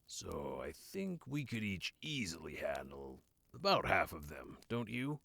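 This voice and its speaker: An actor playing a brash, unflappable warrior cleric in a fantasy audio drama, speaking in a low-key, self-assured way. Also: male voice, huge pitch swings, a little slow, vocal fry, fairly bright tone, no echo, heavily acted. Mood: calm confidence.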